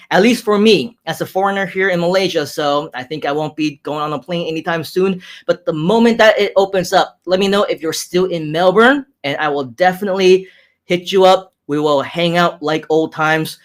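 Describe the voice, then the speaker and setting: High-voiced upbeat live stream host. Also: male voice. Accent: American with Hong Kong hints. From Hong Kong. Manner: upbeat, chatty, repeats phrases, laughs often. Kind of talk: casual live stream chat reading comments.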